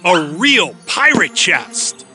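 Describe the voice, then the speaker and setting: A high playful cartoon dog. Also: male voice, big pitch swings, takes it slowly, sharp tone, full character voice. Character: friendly talking male cartoon dog. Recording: cartoon with music behind.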